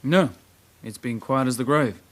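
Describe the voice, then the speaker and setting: A man's voice: mid-range voice, unhurried, a bit bright, no echo, lightly acted. An actor playing a plain, puzzled junior policeman in a radio drama, matter-of-fact and informal. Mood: puzzled, reporting.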